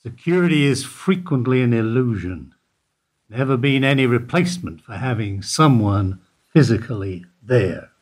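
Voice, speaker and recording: Man doing a weary security guard, husky voice, terse and dry, lively pitch, radio play. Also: moderate pace. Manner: dry, evasive, terse answers. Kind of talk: amateur radio play acting.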